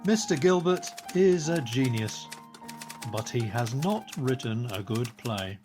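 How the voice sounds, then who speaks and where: A woman's voice: rumbling low, lively intonation, measured pace, music in the background. A woman acting a judgemental Victorian newspaper critic in a radio play, reading a review formally. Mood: pronouncing judgement.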